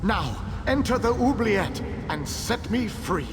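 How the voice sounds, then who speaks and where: A man voicing an imprisoned ancient elf king who gives formal, measured instructions in a video game. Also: high voice, full character voice. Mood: commanding. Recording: long hall reverb with steady noise.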